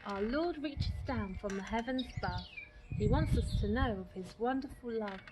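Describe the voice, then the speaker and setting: A woman with a fairly high voice reads a rhyming picture book for children. Her delivery is expressive and deliberate, shaped for storytime. Clear background noise runs through the recording. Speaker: storytime reader.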